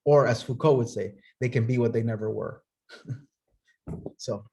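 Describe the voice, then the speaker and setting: A man's voice: mid-range voice, measured pace, creaky, dark tone. Earnest man giving an academic talk. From Nicaragua. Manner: earnest, conversational, frequent "right?". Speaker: guest speaker.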